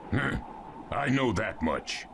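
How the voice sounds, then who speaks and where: A man's voice: on the low side, sing-song, takes it slowly, gravelly, a bit bright, a little hiss or hum, full character voice. A man voicing a stern, scornful, powerful ninja village leader in a game cutscene, speaking harshly and commandingly. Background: game music.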